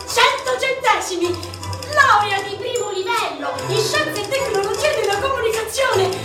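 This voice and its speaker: A wry jobless young graduate. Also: female voice, extremely high pitch. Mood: brisk reciting. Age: young adult. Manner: ironic, swings from brisk reciting to hushed storytelling. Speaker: radio theatre actress. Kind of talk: radio drama monologue. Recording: roomy echo, distant mic, music underneath.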